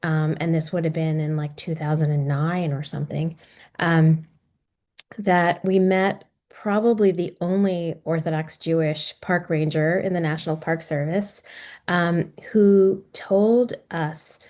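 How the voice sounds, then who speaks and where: A middle-aged filmmaker woman talks through her story, reflective and halting, with lots of pauses and restarts. Her voice sits a little low for a woman. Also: fairly even intonation, a little mellow. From Maryland, US. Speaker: documentary filmmaker. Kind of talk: casual talk about her film.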